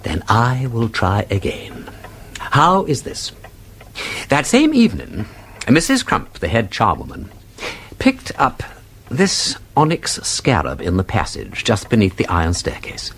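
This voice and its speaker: An actor playing a witty, inquisitive gentleman sleuth in a radio drama, with an upper-class English accent and an urbane, argumentative, theatrical manner. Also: medium pitch, wildly swooping pitch, measured pace, gravelly, a little hiss or hum, exaggerated voice. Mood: keen, persistent. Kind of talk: British radio drama acting.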